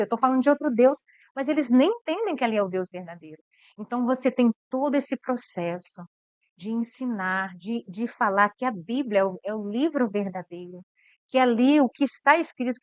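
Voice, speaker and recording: Brazilian woman missionary, earnest interview guest, clear higher voice, dry sound. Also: dry recording. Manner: earnest, repeats words as she thinks. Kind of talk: interview about mission work.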